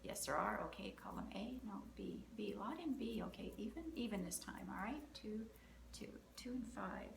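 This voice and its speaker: A woman's voice: medium pitch, lively intonation, moderate pace, creaky. Upbeat woman talking through a healing session. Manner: counts through options aloud, upbeat and chatty. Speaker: emotion code practitioner and host. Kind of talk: live energy-healing demonstration, talking through steps. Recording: roomy, slight background noise.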